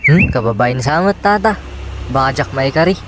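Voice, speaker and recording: A man's voice: mid-range voice, expressive, moderate pace, very noisy, close to an everyday voice. A man playing a shy, kind bachelor who works abroad, hesitant and polite in a radio drama. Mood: doubtful, embarrassed.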